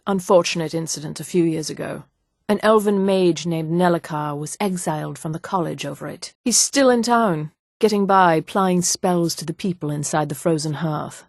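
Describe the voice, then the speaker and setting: An actress voicing a haughty, poised high elf woman, a darkly devout assassin in a fantasy video game, with a formal, measured and dryly superior manner. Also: darkish tone, no room sound, clean studio sound. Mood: curt, aloof. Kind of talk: scripted video game character dialogue.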